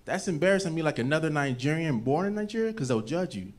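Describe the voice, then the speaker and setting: A man's voice: fairly high pitch, expressive, fast talker. A man with an American accent telling stand-up stories into a stage mic. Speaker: stand-up comedian. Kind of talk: stand-up storytelling. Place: indoor comedy club stage. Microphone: handheld stage mic. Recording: noisy live club recording, played back.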